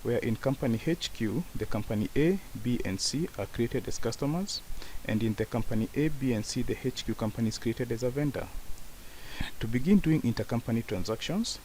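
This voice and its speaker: A man narrating a software tutorial as an instructor, explaining each step in turn. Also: medium pitch, rough recording. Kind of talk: software tutorial screen walkthrough. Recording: hissy voice-over with faint background noise.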